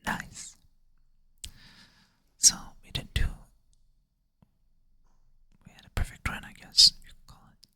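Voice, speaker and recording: ASMR whisperer, sleepy and self-deprecating, bright airy close-mic whisper. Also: slow, long pauses. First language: Swedish. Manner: soft whisper, thinking aloud, self-deprecating. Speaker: ASMR creator. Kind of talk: whispered ASMR puzzle play-through.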